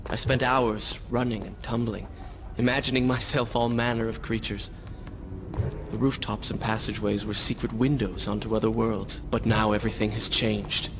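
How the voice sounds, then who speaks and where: A man's voice: lowish voice, level tone, moderate pace, lightly acted. Brooding warrior prince played by a man, noisy backdrop. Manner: earnest, reflective. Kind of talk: video game cutscene acting.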